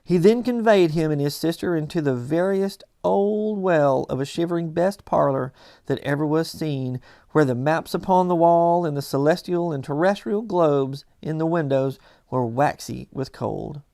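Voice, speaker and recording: A man reading a classic story aloud as expressive scripted narration. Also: on the high side, normal speed, vocal fry, dry recording, clean studio sound. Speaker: audiobook reader.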